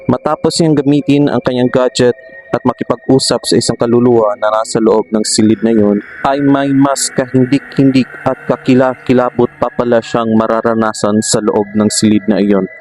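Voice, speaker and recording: A man's voice: medium pitch, steady tone, normal speed, darkish tone, faint music behind. A man with a Filipino accent narrating a scripted horror story.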